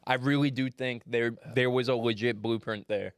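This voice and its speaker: A man's voice: mid-range voice, fairly even intonation, normal speed, clear, resonant tone, dry recording, clean studio sound. A young man co-hosting a podcast, chatting casually about basketball with swearing and banter. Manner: profane, laughing banter. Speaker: podcast co-host. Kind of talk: casual sports chat.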